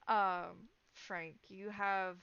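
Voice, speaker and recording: A woman running a horror tabletop game as game master, narrating in halting, descriptive phrases. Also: mid-range voice, little pitch movement, gravelly, fairly bright tone, smooth, dry recording. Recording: dull, lo-fi sound.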